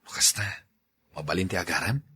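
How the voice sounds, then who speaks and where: A man with an Ilocano accent playing a friendly, gentlemanly young bachelor in an audio drama, casual and coaxing. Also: sits low, a little fast, breathy edge, exaggerated voice. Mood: courting. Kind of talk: radio drama acting in Ilocano.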